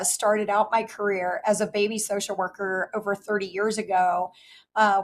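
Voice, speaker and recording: A woman in her fifties, hoarse from losing her voice, giving formal testimony as a regional director over a remote call. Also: mid-range voice, low-quality recording. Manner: earnest, steady, with fillers. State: hoarse, lost voice. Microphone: remote call audio.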